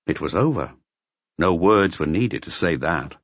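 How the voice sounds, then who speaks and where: Guy reading a detective novel, lowish voice, lots of melody, bit dull recording. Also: lively intonation, unhurried, no echo. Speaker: audiobook narrator. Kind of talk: scripted literary narration of a detective novel.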